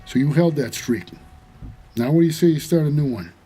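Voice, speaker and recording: An actor playing a wry, world-weary cab driver for the dead, hard-boiled and laconic in a noir audio drama. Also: male voice, fairly high pitch, lively intonation, fairly quick, mellow, faint music behind, natural voice. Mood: stern, counselling. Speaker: narrator and lead character.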